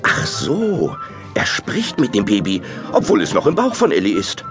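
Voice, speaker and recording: A man's voice: lowish voice, huge pitch swings, normal speed, faint music behind. A man narrating a children's audio play in a theatrical, exclamatory storytelling style.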